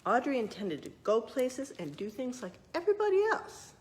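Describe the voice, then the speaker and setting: Woman reading a storybook aloud with lively pitch. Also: moderate pace. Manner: expressive, addresses young listeners with questions. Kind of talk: read-aloud storytelling with explanatory asides. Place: museum exhibit space.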